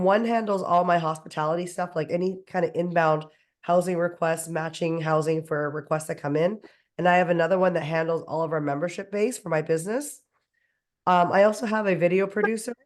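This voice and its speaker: A woman speaking hoarsely while getting over a cold. She chats enthusiastically on a panel and runs through long lists. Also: on the low side, darkish tone. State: hoarse from a cold, losing her voice. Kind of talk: casual panel discussion.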